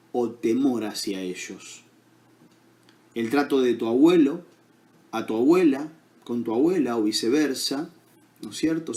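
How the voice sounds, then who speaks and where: Slow, lively Argentine preacher. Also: male voice, medium pitch. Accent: Argentine Spanish. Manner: unhurried preaching with many fillers and tag questions. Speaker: pastor. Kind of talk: sermon.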